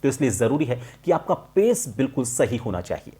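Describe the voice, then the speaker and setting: A middle-aged man explaining a lesson to viewers as a voice coach, in a deliberate, emphatic manner with clear pauses. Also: medium pitch, very animated, very noisy. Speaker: voice-over artist and voice coach hosting a tutorial.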